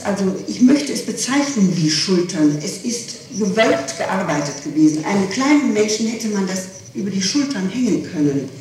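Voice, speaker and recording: A woman's voice: mid-range voice, a little mellow. Middle-aged woman on echoey old tape. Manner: hesitant, repeating and correcting herself. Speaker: witness under questioning. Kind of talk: answering questions in a recorded interrogation. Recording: echoey, distant, noisy 1960s archive tape.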